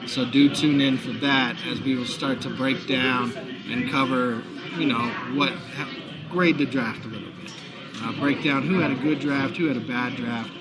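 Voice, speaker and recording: A man with a hoarse voice hosting a live sports talk show, chatty and promotional, from a busy bar. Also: mid-range voice, fairly even intonation, normal speed. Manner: chatty, promotional, frequent fillers. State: hoarse, recovering lost voice. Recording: noisy bar crowd behind. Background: crowd chatter.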